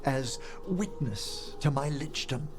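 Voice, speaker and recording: An actor playing a courteous, genteel middle-aged necromancer in a fantasy video game, speaking formally and earnestly. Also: male voice, fairly high pitch, lively intonation, slow pace, over loud music.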